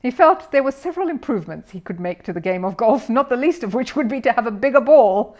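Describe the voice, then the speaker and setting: A woman's voice: a little high, animated, quick pace, a little mellow. A woman narrating a children's storybook as a scripted reading, in the role of storyteller. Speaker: storyteller, audiobook reader.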